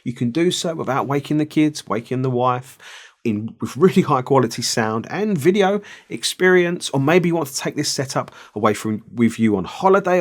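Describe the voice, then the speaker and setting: Man giving a product review. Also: medium pitch. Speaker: audio gear reviewer.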